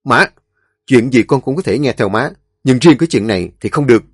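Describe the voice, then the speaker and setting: A man's voice: mid-range voice, expressive, a little fast, warm tone, husky, no room sound, clean studio sound. A man voicing a young husband who firmly defies his mother. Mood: defiant. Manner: firm defiant. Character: firm protective young husband.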